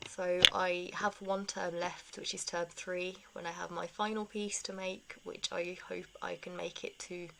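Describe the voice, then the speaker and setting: A woman's voice: fairly low pitch, level tone, measured pace, gravelly, few pauses, heavy background noise. A young woman vlogger giving a casual life update, rambling with self-deprecating asides.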